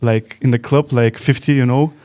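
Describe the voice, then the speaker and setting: Playful podcast host, smooth voice. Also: male voice, medium pitch, steady tone, a little mellow. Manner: playful, asks quick questions. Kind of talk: casual chat.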